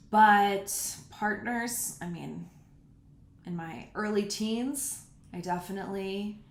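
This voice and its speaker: A woman in her thirties hosting a podcast, talking frankly and casually as she answers listener questions. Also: mid-range voice. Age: 30s. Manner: frank and candid, speaks bluntly about personal topics. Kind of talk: casual solo podcast talk. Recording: roomy echo.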